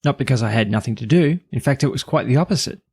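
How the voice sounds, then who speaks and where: A man's voice: medium pitch, expressive, quick pace, mellow, slightly rough, dry recording, clean studio sound. A man narrating a scripted review as a podcast host.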